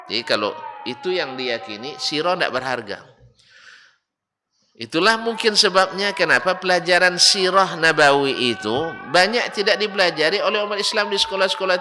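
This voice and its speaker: A male Islamic preacher, with a lilt, giving a lecture and rising to loud, emphatic rebukes and mocking rhetorical questions. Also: mid-range voice. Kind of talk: religious study lecture. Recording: reverberant hall with faint background noise.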